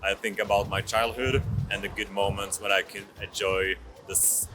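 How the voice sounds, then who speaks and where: A man in his thirties from France, narrating a travel vlog in a casual, halting way. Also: fairly low pitch, flat delivery, music in the background, low-quality recording. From France, moved to Norway at six. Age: 30s. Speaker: vlogger.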